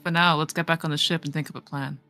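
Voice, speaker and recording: Woman voicing a bright, determined young cartoon hero. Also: low-pitched, steady tone, fast, soft background music. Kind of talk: amateur game fandub.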